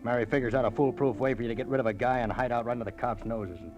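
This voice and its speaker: An actor with an American accent playing an irritable, hard-boiled crooked roadhouse owner in 1940s radio drama, brusque and slangy. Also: male voice, mid-range voice, rapid-fire, lightly acted. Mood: irritated. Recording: old radio disc transfer with music. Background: music.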